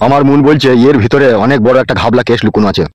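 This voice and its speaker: Hot-tempered cartoon village boss played by a man: mid-pitched, very fast, blunt. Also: no echo, dull audio, faint music behind, close to an everyday voice. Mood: angry, scheming. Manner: blunt, interrogating, bossy. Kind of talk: comedy cartoon dialogue.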